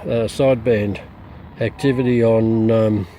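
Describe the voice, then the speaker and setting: A man's voice: fairly low pitch, fairly even intonation, unhurried. A middle-aged man giving an informal, unscripted hands-on radio demonstration, hesitant and muttering as he works. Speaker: radio hobbyist reviewer. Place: outdoors in a backyard. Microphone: camera mic, behind the camera. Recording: loud radio static and background hiss. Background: shortwave radio noise.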